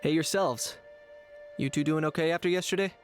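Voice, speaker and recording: Calm, reserved young warrior played by a man. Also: on the high side, brisk, faint music behind, lightly acted. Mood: cool, dry. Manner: level-headed, matter-of-fact. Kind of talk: anime video game voice acting.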